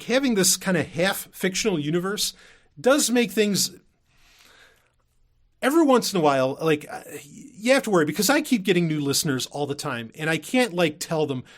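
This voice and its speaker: A man's voice: on the high side, fairly bright tone. A man hosting a podcast in casual, unscripted talk, rambling and doubling back on his sentences, with informal, emphatic phrasing. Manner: rambling, halting, self-interrupting. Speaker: podcast host and audio drama creator.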